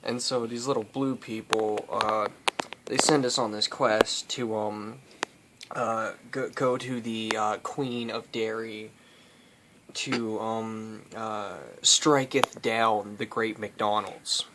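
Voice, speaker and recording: Halting male storyteller, noisy recording. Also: lowish voice, fairly even intonation. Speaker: storyteller, host. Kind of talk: casual improvised storytelling.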